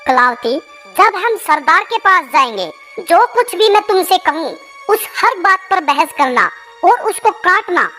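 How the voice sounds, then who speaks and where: A cartoon voice actor playing a sly, fawning village swindler who schemes and flatters theatrically, with children's music behind. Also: very high-pitched, a little slow, exaggerated voice. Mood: scheming. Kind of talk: animated moral story character dialogue.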